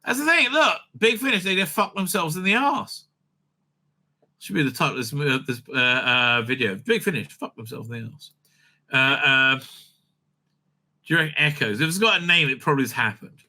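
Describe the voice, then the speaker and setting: A man giving unscripted, profane, opinionated commentary as a video host, stammering and repeating himself in an excitable way. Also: on the high side, moderate pace.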